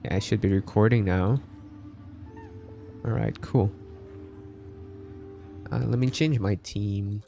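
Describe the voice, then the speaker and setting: A man's voice: fairly low pitch, slow, gravelly, pauses often, warm tone, faint music behind. A man from the Philippines chatting casually as he streams a game live. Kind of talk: casual gaming livestream chat.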